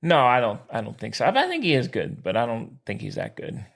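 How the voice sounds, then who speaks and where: A man co-hosting a sports podcast, bantering casually in an excitable, opinionated and slangy way. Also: mid-range voice, fast, gravelly.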